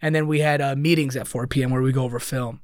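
A man's voice: medium pitch, steady tone, fast talker, no echo, studio quality. A man, a social media creator guesting on a podcast who talks in a loose, rambling way full of likes and dudes. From New York. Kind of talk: casual podcast chat.

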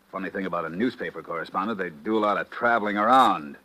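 An actor playing a wary plantation owner, insinuating and needling, in a 1950s radio drama. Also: male voice, low-pitched, moderate pace, bright, forceful tone. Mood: needling, guarded. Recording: noisy old radio broadcast.

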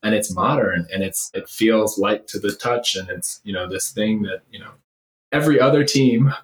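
A very low-pitched man answers interview questions in a roomy, echoey space. He is emphatic and opinionated, stacking repeated phrases into lists as he makes his case in a conversational way. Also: normal speed. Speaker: startup CEO and former finance leader, interview guest.

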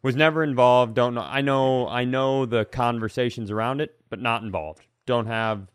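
A man's voice: medium pitch, steady tone, moderate pace, smooth, dry recording, studio quality. A man co-hosting a casual comedy podcast, rambling as he talks and often correcting or restating himself. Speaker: podcast co-host.

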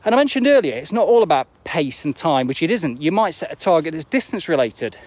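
Lively, higher-voiced man in his forties. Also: a little fast, no echo. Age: 40s. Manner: conversational, reflective, checks in with rhetorical questions. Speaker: running coach hosting a live video. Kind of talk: casual motivational talk to viewers, live video. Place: outdoors, walking uphill near an airport.

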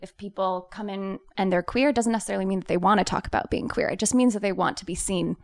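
A woman's voice: medium pitch, quick pace, creaky, a little mellow. A woman therapist, a podcast guest, explaining her work and teasing the hosts with a laugh. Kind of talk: casual chat with explanations.

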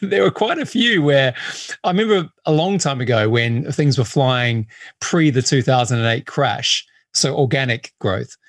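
A man's voice: mid-range voice, animated, normal speed, no echo. A man hosting a business interview with a hybrid Australian accent mixed with English and American, chatty and enthusiastic. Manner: enthusiastic, chatty, laughs easily. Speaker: podcast host.

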